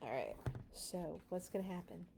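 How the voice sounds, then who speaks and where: Animated woman reading a storybook. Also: lowish voice, normal speed, vocal fry, noisy background. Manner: informal, amused, expressive. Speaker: children's storybook read-along host. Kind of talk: casual read-aloud with chatty asides.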